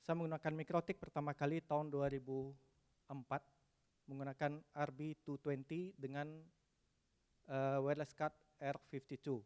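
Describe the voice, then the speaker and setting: A man from West Sumatra giving a technical conference presentation, pausing often with "eh" and dropping in English terms. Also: fairly high pitch, little pitch movement, darkish tone, clear, resonant tone. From West Sumatra, Indonesia. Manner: hesitant, frequent "eh" fillers, mixes in English terms.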